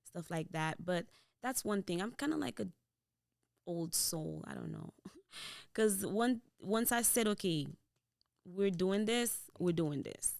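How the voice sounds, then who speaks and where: A young woman from Haiti with a Haitian Creole accent, a podcast guest telling her story in relaxed chat and slipping into Creole, on a studio boom mic. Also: slow pace, vocal fry, dry recording. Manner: switches into Creole mid-sentence, relaxed storytelling. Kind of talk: casual interview chat. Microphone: boom-mounted studio mic.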